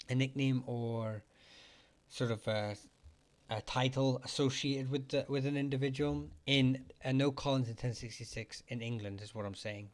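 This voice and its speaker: A man hosting a live chat, talking haltingly with many fillers and restarts, his voice getting hoarse as he keeps clearing his throat. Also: mid-range voice. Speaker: livestream host answering surname questions. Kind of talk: casual live-chat talk.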